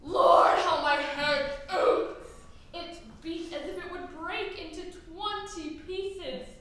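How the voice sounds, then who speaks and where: A woman's voice: high-pitched, lively intonation, a little slow, pushed and bright, slight background noise, heavily acted. A woman playing an old nurse who grumbles about her aches and teases, in a stage Shakespeare performance. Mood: aching. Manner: complaining, dawdling, theatrical. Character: fussy old female nurse, achy and teasing. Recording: echoey hall from far off.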